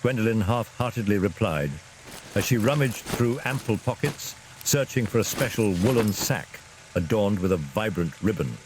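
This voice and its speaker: A man's voice: lowish voice, lively intonation, normal speed, noisy background. A man narrating a scripted fantasy story in a dramatic storytelling style.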